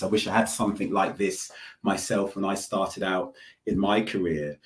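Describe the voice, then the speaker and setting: A man hosting an online conference session, speaking in a polite, measured, formal way. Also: deep voice. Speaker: host. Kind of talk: webinar hosting. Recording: roomy echo.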